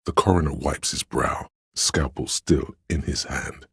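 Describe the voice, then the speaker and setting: A man voicing an omniscient inner voice of police camaraderie in a detective video game, narrating gravely and theatrically in a husky, gravelly voice. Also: a little slow, a bit bright, no room sound, studio quality, heavily acted. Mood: grave, measured. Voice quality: very deep gravelly husky rasp. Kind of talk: dramatic literary game narration.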